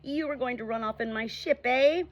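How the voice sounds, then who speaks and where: Woman putting on a blustering storybook pirate villain, loud and taunting, voice pushed high. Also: moderate pace, lo-fi sound, close to an everyday voice. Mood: gloating. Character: gloating male pirate captain, blustering villain.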